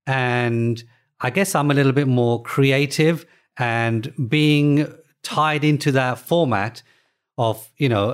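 A middle-aged man, the guest of an interview, talking in a relaxed, thoughtful way with plenty of "you know" fillers. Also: medium pitch. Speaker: guest, author of language books.

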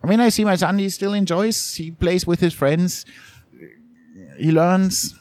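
A middle-aged man from Germany, a journalist being interviewed, talking in a halting way with many restarts and repeated words. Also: high-pitched, darkish tone, no room sound, studio quality. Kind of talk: candid conversational interview.

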